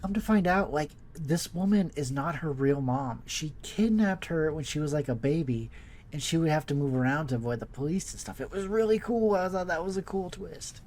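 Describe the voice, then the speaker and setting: A man's voice: fairly high pitch, lively intonation, normal speed, a little mellow. A man giving casual let's play commentary as host, rambling along in a laid-back, hesitant way. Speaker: gaming video host.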